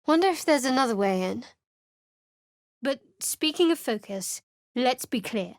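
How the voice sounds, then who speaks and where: A woman's voice: high-pitched, expressive, measured pace, no echo. A woman voicing a guarded, sardonic young cleric adventurer in a video game, speaking with dry, cutting wit and cool reserve. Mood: wry.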